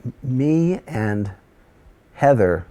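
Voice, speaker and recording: This is a man whose first language is Dutch, chatting casually in a voice of ordinary pitch. He rambles, restarts and hedges with plenty of fillers, thinking aloud as he goes. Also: big pitch swings, very slow, mellow. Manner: rambling, hesitant, with many fillers. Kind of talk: casual conversation.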